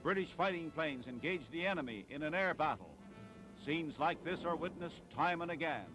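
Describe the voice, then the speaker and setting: A man delivers 1940s newsreel narration in a fairly high voice. His phrasing is clipped and declamatory, set over prominent music on a vintage soundtrack. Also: lively intonation, normal speed, poor audio.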